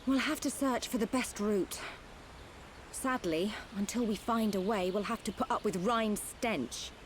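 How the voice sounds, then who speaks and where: A woman's voice: mid-range voice, measured pace, a little hiss or hum, natural voice. A woman playing a composed, capable young medic in a video game. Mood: wry.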